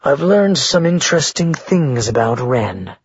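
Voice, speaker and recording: A man's voice: medium pitch, sing-song, measured pace, breathy edge, no room sound, muffled recording, full character voice. A man voicing a stern, powerful father who speaks coldly and growls, in an audiobook reading. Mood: cold anger. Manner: cold and emotionless, growling.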